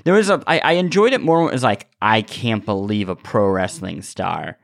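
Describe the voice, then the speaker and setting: A man's voice: on the low side, expressive, normal speed, creaky. A man hosting a podcast in casual chat, telling stories in a rambling way with repeated words. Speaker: podcast host.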